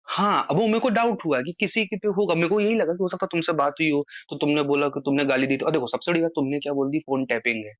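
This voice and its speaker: A man's voice: on the high side, quick pace, few pauses, no echo. A man on a phone call, earnestly explaining his side at length in casual conversation, his voice rising for emphasis. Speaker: social media creator on a phone call. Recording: muffled dry phone-call recording.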